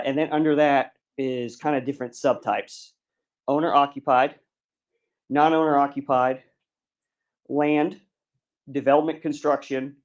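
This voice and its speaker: A man who works as a bank commercial lender, giving a seminar lecture in a plain, explaining way with many ums and uhs. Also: fairly high pitch. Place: seminar room.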